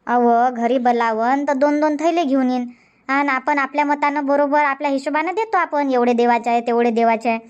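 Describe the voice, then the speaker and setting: Busy, folksy village auntie for cartoon comedy, played by a woman: high-pitched, talkative, repetitive, Varhadi Marathi accent. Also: fairly quick, dull audio, close to an everyday voice. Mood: explaining. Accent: Varhadi Marathi from Vidarbha.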